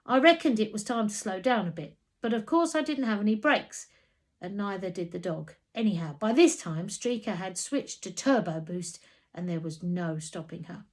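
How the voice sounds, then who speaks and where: A woman reading a children's story aloud as an expressive narrator. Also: medium pitch.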